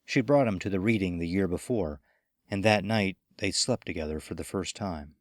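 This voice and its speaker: Very low-voiced man narrating a horror story. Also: normal speed, no echo, clean studio sound. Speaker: audiobook narrator.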